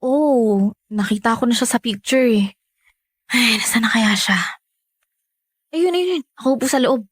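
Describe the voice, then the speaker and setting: A Filipino woman acting in a radio drama as a defiant, whiny young mistress, brazen and quick to defend herself. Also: on the high side, no echo, clean studio sound. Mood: impatient.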